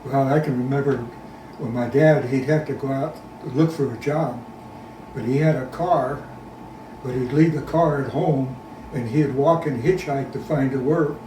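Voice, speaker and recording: A man in his nineties, interviewed at a private home, telling childhood memories in a plain, unhurried way. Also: medium pitch. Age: 90s. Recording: roomy sound, distant mic, background noise.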